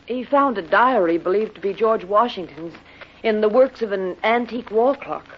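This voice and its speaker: A woman's voice: mid-range voice, animated, normal speed, lightly acted. An actress playing an anxious, pleading young society woman in a fifties radio mystery. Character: frightened, urgent young society woman in trouble. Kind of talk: 1950s radio drama acting. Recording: dull, noisy vintage radio recording.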